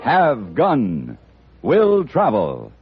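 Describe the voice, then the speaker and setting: A man's voice: mid-range voice, huge pitch swings, very slow. A man announcing in old-time radio style, with emphatic, salesman-like delivery. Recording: muffled vintage radio, faint music.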